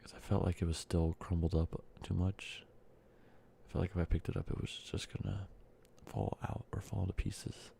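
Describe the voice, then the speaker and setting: A man with a cold softly speaking and whispering in a rambling ASMR video, sounding congested and short of breath. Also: deep voice, little pitch movement, moderate pace, creaky, a little hiss or hum. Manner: soft speaking drifting into whispers, rambling with fillers. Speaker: ASMR creator. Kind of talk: soft-spoken ASMR candy-making ramble.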